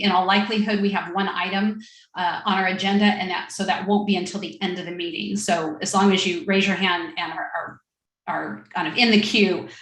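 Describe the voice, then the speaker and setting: A woman's voice: on the low side, roomy sound, low-quality recording. Woman chairing a council meeting. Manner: informal, with frequent fillers and restarts.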